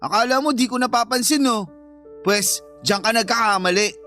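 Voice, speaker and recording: A sulky, jealous young Filipino father in a radio drama. He sounds whiny and accusing, with soft music behind him. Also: sits high, normal speed.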